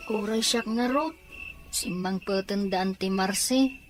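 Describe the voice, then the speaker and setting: A woman's voice: mid-range voice, takes it slowly, faint music behind, lightly acted. A woman playing a puzzled, hesitant child in an Ilocano audio drama.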